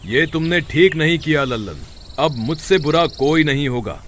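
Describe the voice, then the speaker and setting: A man's voice: a little high, lively intonation, moderate pace, slight background noise, lightly acted. A man voicing a stern, hot-tempered but fair village farmer in a moral-story cartoon, emphatic and theatrical. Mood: angry, threatening.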